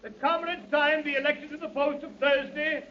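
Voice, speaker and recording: An actor playing an anarchist meeting chairman, shouting formally in a 1930s radio drama. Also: very high-pitched, steady tone, normal speed. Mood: urgent, loud. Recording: noisy muffled old radio, loud crowd.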